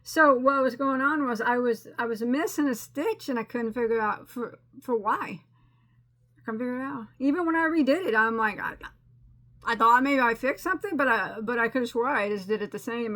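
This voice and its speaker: A woman's voice: fairly high pitch, a little fast, fairly bright tone. A woman hosting a crochet channel, chatting casually and rambling, laughing at her own mistakes. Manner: rambling and self-deprecating, with frequent restarts and laughs. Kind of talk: casual chatty crafting update.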